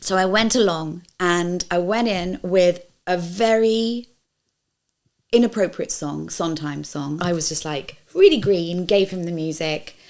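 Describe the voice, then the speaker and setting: Low-voiced woman recalling an audition. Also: a little slow, a little mellow. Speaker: interviewee, actress. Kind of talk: anecdotal interview.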